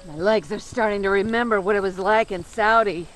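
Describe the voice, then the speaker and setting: An actress playing a weary, stubborn veteran traveler in an audio drama, wry and bantering. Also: female voice, medium pitch, fairly quick, faint music behind, close to an everyday voice. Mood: teasing.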